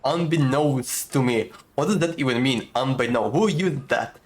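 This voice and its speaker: A man's voice: medium pitch, moderate pace, a crowd in the background. A young man casually commenting over gameplay, with joking, incredulous asides. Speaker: gaming streamer.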